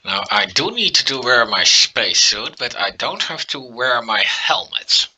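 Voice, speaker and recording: A man with a Dutch accent, a native Dutch speaker, casually commentating on a game he plays, relaxed and hesitant with fillers. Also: medium pitch, unhurried, husky, poor audio. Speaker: let's play commentator.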